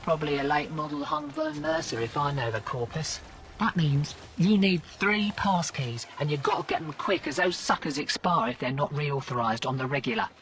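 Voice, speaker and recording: An actress playing a cocky, slangy resistance field agent in a video game. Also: female voice, sits low, moderate pace, fairly bright tone, recorded from a distance, a little hiss or hum. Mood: sardonic, businesslike. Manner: brash, slangy. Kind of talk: video game mission dialogue.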